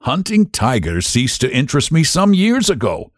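A man voicing a courteous, menacing aristocratic general who hunts, talking slowly and deliberately in a higher put-on voice. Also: huge pitch swings, dry recording, studio quality. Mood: suave, boastful. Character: suave aristocratic male general, a hunter, menacing.

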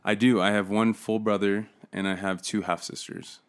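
A man who grew up overseas, a podcast guest telling his own story in a casual, somewhat halting way. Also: fairly low pitch, level tone, clean tone. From grew up overseas, in Russia, China, Taiwan and Uzbekistan.

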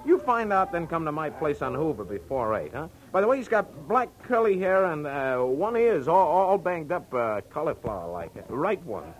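A man's voice: on the high side, very animated, normal speed, hardly any pauses, low-quality recording. An actor playing a shady, dapper ex-con businessman with slangy, insistent tough-guy talk in 1940s radio style. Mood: businesslike. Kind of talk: 1940s radio crime drama acting. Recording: old radio recording with music.

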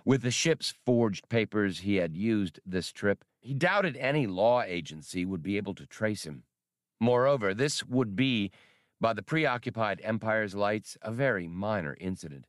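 Animated male audiobook narrator, low-pitched. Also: measured pace, no room sound, clean studio sound. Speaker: audiobook reader. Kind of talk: dramatic scripted fiction narration.

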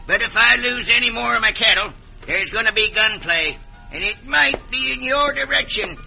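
Hot-tempered rancher, extremely high, gravelly voice, western accent, vintage radio western. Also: male voice, moderate pace, sharp tone, soft background music, heavily acted, rough recording. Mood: gruff, threatening. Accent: American western. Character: hot-tempered cattleman of the Old West. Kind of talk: old-time radio western acting.

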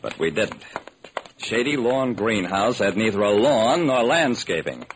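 Vintage radio detective hero, wry and sharp, lively swooping inflection, noisy old recording. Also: male voice, lowish voice, a little slow. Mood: wry, narrating. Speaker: narrator and lead actor. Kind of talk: old-time radio detective narration and acting.

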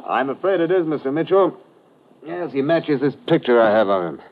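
An actor playing a polite, methodical English police inspector with a measured, understated manner in a 1950s radio drama. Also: male voice, fairly high pitch, very animated, narrow, tinny audio. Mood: dry, composed. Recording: noisy old radio recording.

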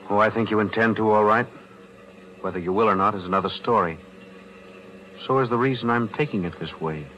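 A man playing a calm, very deep lawyer. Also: slight background noise, natural voice. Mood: composed. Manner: measured, steady and composed. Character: calm, principled male lawyer. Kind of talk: 1940s radio acting. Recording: dull lo-fi old radio broadcast.

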